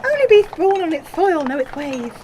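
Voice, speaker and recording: A woman's voice: very high-pitched, sing-song, normal speed, darkish tone, a little hiss or hum. A woman voicing a kindly, wary, giant male cart driver with a folksy, rambling manner in a comic audio drama. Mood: folksy, warm. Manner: folksy, rambling, dialect grammar.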